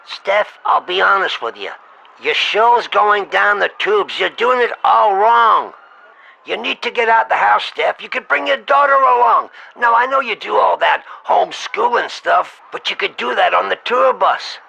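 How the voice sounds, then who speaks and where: A man playing a pushy agent in a comic phone call, coaxing and crude as he pitches an idea. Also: expressive, measured pace, creaky, bright, forceful tone. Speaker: prank caller posing as an agent.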